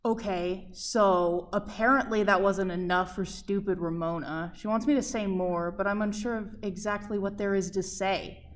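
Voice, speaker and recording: A woman's voice: medium pitch, fairly even intonation, moderate pace, few pauses, close to an everyday voice. A woman playing a sullen, defiant young male intern in a radio play, grudging and petulant.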